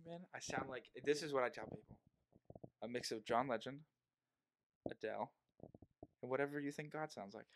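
A man's voice: medium pitch, fairly quick, pauses often, slight background noise, low-quality recording. A young man hosting a podcast in casual chat, rambling and joking, often breaking off mid-sentence. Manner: rambling, self-interrupting, joking.

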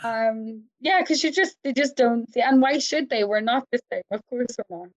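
A woman's voice: a little high, animated, normal speed, poor audio. A woman with an Irish accent, a researcher answering interview questions reflectively. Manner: reflective, thinks aloud with many fillers. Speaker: researcher guest. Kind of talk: conversational interview.

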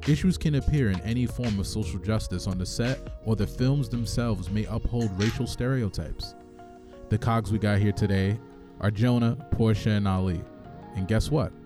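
A man hosting a podcast, moving between scripted introduction and casual chat. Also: lowish voice. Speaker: podcast host.

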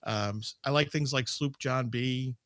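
Podcast guest: male, late 50s, bright tone, casual storyteller, dry recording. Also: medium pitch, a little slow, no echo. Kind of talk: casual reminiscing chat.

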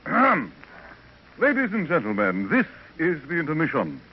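A man's voice: fairly high pitch, huge pitch swings, normal speed. A man playing a florid, pompous comic sidekick in an old radio drama, with grandiloquent, hammy delivery. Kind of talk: 1940s radio acting. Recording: muffled old radio, faint noise.

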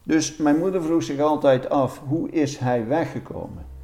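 An older man giving a spontaneous interview account as a researcher, halting as he searches for words. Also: fairly high pitch, mellow, distant mic, soft background music. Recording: roomy, away from the mic.

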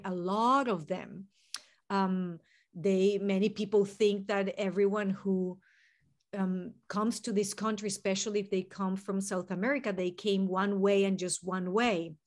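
A woman in her forties from Venezuela with a Venezuelan Spanish accent, a therapist speaking earnestly and reflectively as a podcast guest. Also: medium pitch. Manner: earnest, reflective, speaks in long unfolding sentences. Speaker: guest, licensed mental health therapist. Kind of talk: podcast interview.

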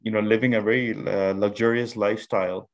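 A man from Pakistan in his thirties, a podcast guest telling his life story in a measured way with many restarts. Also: on the low side, flat delivery. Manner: measured, with frequent restarts and fillers. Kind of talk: reflective storytelling interview.

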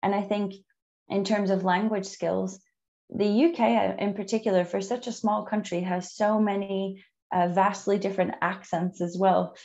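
A woman who is a native English speaker, hosting a conversational interview in a measured, encouraging way with frequent ums. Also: mid-range voice, flat delivery, darkish tone, lo-fi sound. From outside the UK. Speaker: podcast host.

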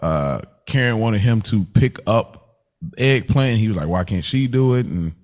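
A man's voice: on the low side, expressive, a little slow, darkish tone. A man hosting a podcast, casually recapping a TV show in slangy, informal storytelling. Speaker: podcast host.

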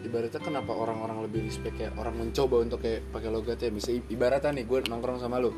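Quick, slangy young Javanese man, podcast co-host, music playing behind. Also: mid-range voice, fairly even intonation. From Java. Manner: fast and slangy, swears often. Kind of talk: casual chat.

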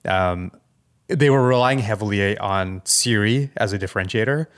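A man co-hosting a podcast, chatting casually about tech news and thinking aloud in a halting, conversational way. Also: fairly low pitch. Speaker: podcast co-host.

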